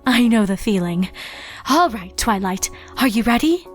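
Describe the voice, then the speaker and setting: A woman's voice: a little high, lively intonation, unhurried, soft background music. Woman as an assured, coy pony princess. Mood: encouraging. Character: confident, coy younger-sister pony princess, easily irritated. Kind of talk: comedic fan fiction voice acting.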